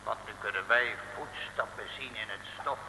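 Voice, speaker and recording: An actor playing a humble, flattering, amorous sidekick with deliberate, flowery speech in a mid-century comic radio serial. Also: male voice, lowish voice, lightly acted. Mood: solemn. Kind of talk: 1950s-60s comic radio serial acting. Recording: old radio recording, echoing hall, faint noise.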